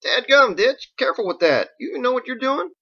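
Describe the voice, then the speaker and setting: Man putting on a high, folksy, exasperated sailor. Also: very animated, normal speed, bright, forceful tone, husky, dull audio, heavily acted. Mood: alarmed, exasperated. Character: folksy, wary sailor leader, gruffly protective.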